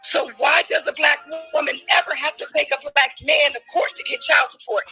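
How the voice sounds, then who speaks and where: A woman's voice: high-pitched, huge pitch swings, a little fast, soft background music. A woman arguing in a heated debate, emphatic and challenging, and losing her voice. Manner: forceful, emphatic, challenging questions.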